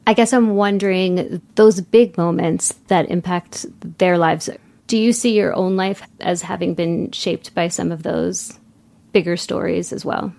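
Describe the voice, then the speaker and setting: A woman hosting a literary podcast, putting measured, thoughtful questions to an author in conversation. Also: fairly low pitch, creaky, a little mellow. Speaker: podcast host interviewing an author.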